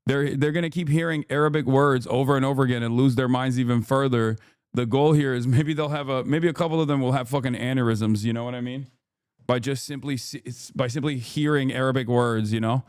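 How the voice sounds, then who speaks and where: A man's voice: mid-range voice, brisk, darkish tone, clear, resonant tone, dry recording, studio quality. A man in his thirties with a Texan accent, hosting a live stream with hyped, profane, rambling commentary. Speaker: streamer host.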